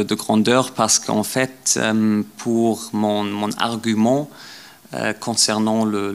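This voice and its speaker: A low, gravelly man's voice with a lot of creak in it. He lectures in a language that is not his native one, stumbling through repeated words and filler sounds as he works his way to each point. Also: fairly bright tone. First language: not French. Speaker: guest lecturer. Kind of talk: academic lecture.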